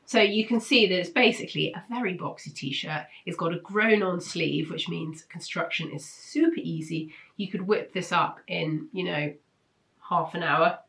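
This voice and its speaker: A woman's voice: on the low side, moderate pace, vocal fry, fairly bright tone. A British woman hosting a casual review vlog, chatty and enthusiastic, with a nasal, congested voice from a blocked nose and flu. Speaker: host of a weekly sewing pattern roundup. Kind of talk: casual chat review to camera.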